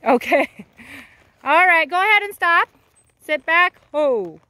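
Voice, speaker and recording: A woman coaching a horse rider in an outdoor arena, upbeat and teasing, quick to praise. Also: very high voice, expressive, pushed and bright. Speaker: riding instructor.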